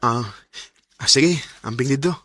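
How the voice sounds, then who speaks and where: An actor playing a remorseful, unfaithful young boyfriend in a Bisaya radio drama, with a Cebuano Filipino accent and a stammering, dramatic delivery. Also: male voice, medium pitch, a little slow, over loud music, lightly acted. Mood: flustered, apologetic.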